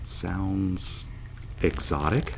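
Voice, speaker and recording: A man's voice: low voice, fairly even intonation, drawn-out words, vocal fry, a little hiss or hum, full character voice, poor audio. A man playing a dazed, wisecracking starship officer in an audio drama, blunt and sardonic. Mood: groggy, pained. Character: dazed, wisecracking male starship officer waking from long stasis.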